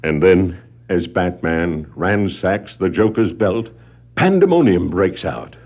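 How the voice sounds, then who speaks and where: A man's voice: low voice, lively intonation, takes it slowly, heavily acted. A man giving formal, portentous scripted narration for a 1960s dramatic adventure record. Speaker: story narrator. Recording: dull 1960s vinyl record.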